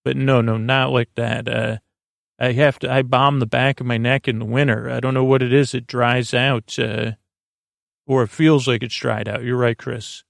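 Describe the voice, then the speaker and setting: A man with a creaky, gravelly voice hosting a sleep podcast, rambling in a lulling, halting, meandering bedtime monologue. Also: mid-range voice, little pitch movement, dry recording, studio quality. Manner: lulling, soothing, halting with tangents.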